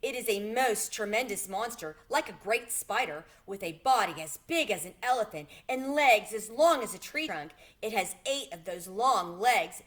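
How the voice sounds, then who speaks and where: A woman's voice: mid-range voice, a bit bright, close to an everyday voice. A woman voicing a big, respectful male tiger in a storybook, speaking earnestly and formally.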